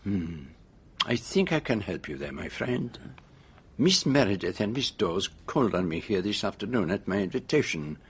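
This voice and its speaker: Courteous older detective with a French-speaking European accent. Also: male voice, fairly low pitch, very animated, normal speed, slightly rough, full character voice. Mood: shrewd, deliberate. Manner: formal, precise, hesitating politely. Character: older male detective, courteous, shrewd, gently scheming. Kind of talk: BBC radio drama acting.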